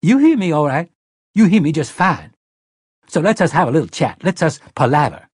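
A man voicing a harsh, crude, fierce woman who speaks in a rough dialect and brooks no argument. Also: low voice, expressive, normal speed, darkish tone, no echo, clean studio sound, voice pushed up. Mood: taunting.

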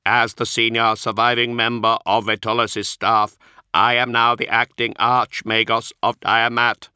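A man voicing a cold, formal male tech priest whose synthetic voice is harsh and atonal, spoken slowly and precisely. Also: medium pitch, flat delivery, pushed and bright, clear, resonant tone, dry recording, clean studio sound, voice pushed up. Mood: measured. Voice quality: harsh, atonal, strangely inflected. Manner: slow, clipped, precise delivery.

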